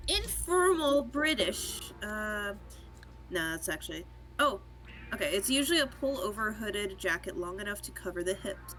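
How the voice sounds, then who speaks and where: A woman with a bright, forward voice and very mobile pitch, chatting casually on a stream. Faint music sits behind her. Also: big pitch swings, moderate pace, low-quality recording. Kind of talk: casual chat.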